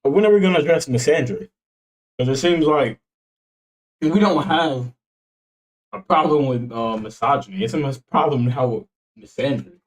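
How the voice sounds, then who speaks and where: A man's voice: medium pitch, normal speed, pauses often, poor audio. A man in his twenties chatting casually on stream, joking around in a slangy way and laughing often. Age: 20s. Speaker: streamer reacting to videos.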